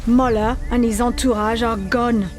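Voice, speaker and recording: A woman's voice: fairly high pitch, steady tone, moderate pace, darkish tone, soft background music, lightly acted. An actress playing a serious female resistance leader who briefs an agent earnestly. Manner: earnest, urgent.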